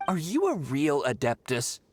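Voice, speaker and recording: A man playing an excitable, pushy young pilgrim in a video game, dramatic and argumentative. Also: a little high, a little slow, a little hiss or hum. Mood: irritated, suspicious. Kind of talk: video game character acting.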